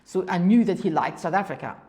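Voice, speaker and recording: German-accented woman with a lowish voice. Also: lively intonation, fast, darkish tone. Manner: talks in long anecdotes, often says "kind of go and", repeats words. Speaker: venture capital partner, guest. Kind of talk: interview storytelling.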